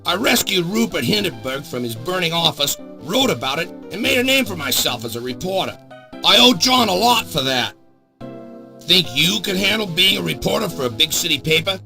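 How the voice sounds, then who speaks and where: Brash middle-aged newspaper chief, game role: gravelly, forceful, bossy, music underneath. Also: male voice, high-pitched, big pitch swings, normal speed, heavily acted. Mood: hearty. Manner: blunt, bossy, emphatic. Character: gruff, brash male big-city newspaper boss. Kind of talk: scripted adventure game acting.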